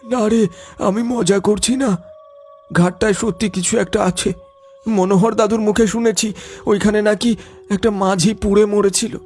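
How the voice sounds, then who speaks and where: A man's voice: high-pitched, fairly even intonation, normal speed, long pauses, darkish tone, soft background music. A man voicing a frightened, superstitious young village man in a cartoon, stammering when scared. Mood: earnest. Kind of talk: cartoon voice acting.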